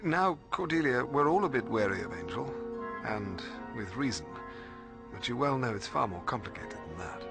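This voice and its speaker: An actor playing a bookish, middle-aged British librarian mentor in a video game, formal and precise, hesitating when puzzled. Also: male voice, mid-range voice, big pitch swings, moderate pace, creaky, over music. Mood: exasperated.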